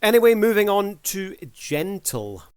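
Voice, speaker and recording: A man's voice: high voice, very animated, measured pace, faint music behind. A man giving casual review commentary as a host, addressing his audience like a showman.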